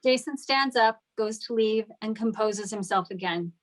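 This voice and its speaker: A woman's voice: mid-range voice, moderate pace, rough recording. A woman narrating scripted stage directions at a table read.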